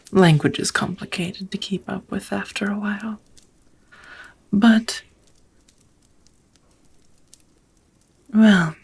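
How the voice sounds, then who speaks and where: Casting: actress as ancient female vampire, tender and hesitant, for romantic ASMR roleplay; very husky whisper, unhurried intimate delivery. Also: mid-range voice, full character voice. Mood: nervous, loving. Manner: slow, hesitant, intimate, slightly old-fashioned phrasing.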